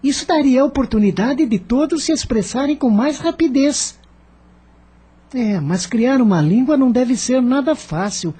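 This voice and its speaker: A woman's voice: sits high, expressive, moderate pace, warm tone, slightly rough. A woman playing an earnest, idealistic teenage schoolboy who thinks aloud and questions things, in a radio drama. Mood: doubtful.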